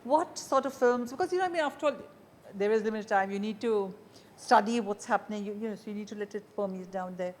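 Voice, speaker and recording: A woman's voice: mid-range voice, fast, darkish tone. A woman with an Indian accent asking questions as the host of a conversational interview.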